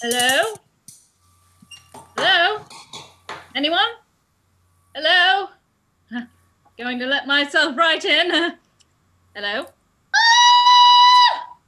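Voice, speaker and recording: Self-important police inspector, extremely high, bright and forceful, comic radio play. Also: female voice, huge pitch swings, very slow, long pauses, full character voice. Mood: brisk, self-important. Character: eager, self-important Scotland Yard art crime inspector.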